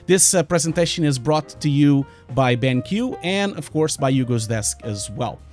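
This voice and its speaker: A man's voice: on the high side, animated, a little slow, darkish tone, soft background music. A man in his forties from Portugal with a Portuguese accent, presenting a webinar from his home studio in a chatty, self-interrupting way with frequent fillers.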